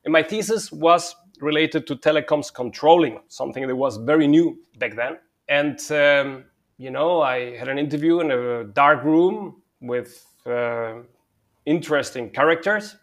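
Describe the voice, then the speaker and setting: A man's voice: a little high, unhurried. A man from Spain, a telecom company head talking through his career in an interview with frequent fillers and restarts. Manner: hesitant, with filler words and repeated starts. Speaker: guest, telecom consulting CEO. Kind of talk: conversational interview.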